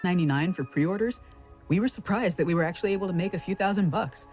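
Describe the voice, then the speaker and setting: A woman's voice: on the low side, fast, a little mellow, soft background music. A woman delivering a scripted announcement as a company co-founder addressing a community.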